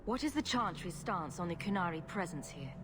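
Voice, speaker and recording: A woman's voice: on the low side, sing-song, a little fast, music in the background. An actress playing a confident female rogue hero in a video game, dry, wry and matter-of-fact.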